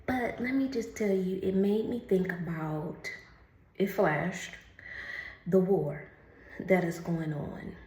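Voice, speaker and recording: A woman doing a casual oracle card reading, chatty and laughing often, who calls the listener honey and reads the card text slowly and carefully. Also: lowish voice, a little mellow, echoey room.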